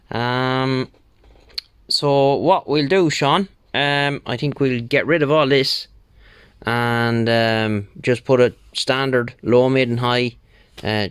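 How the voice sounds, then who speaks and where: An Irish man talking casually through a repair job, thinking aloud in an unhurried, colloquial way. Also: mid-range voice, clear, resonant tone, no room sound. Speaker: radio repair technician. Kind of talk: casual spoken bench walkthrough. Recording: steady background noise, bench clicks.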